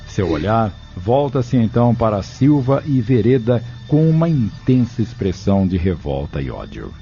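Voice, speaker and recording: A man's voice: lowish voice, very animated, normal speed, warm tone. A man giving scripted, dramatic narration for an audio novel. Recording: dull, lo-fi recording with music.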